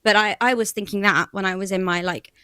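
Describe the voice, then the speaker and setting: Lively woman in her thirties, dry mic. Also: medium pitch, brisk, clear, resonant tone, no echo. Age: 30s. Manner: animated, acts out her own inner voice in quotes. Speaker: podcast co-host. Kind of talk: casual chat.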